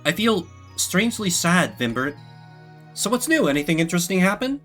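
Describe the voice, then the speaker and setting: A wistful, gentle woman from a video game, acted out by a man, pitched high and lilting, melodramatic and tender, with music underneath. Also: big pitch swings, normal speed. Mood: friendly, curious.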